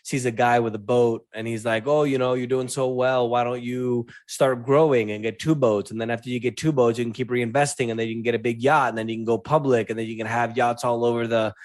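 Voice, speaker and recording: A man in his late thirties talks as a podcast guest in a casual, storytelling way. His pitch is average for a man. The sound is very dry, with no room echo. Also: fairly even intonation, brisk, few pauses, a little mellow. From born in Venezuela, considers himself American. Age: late 30s.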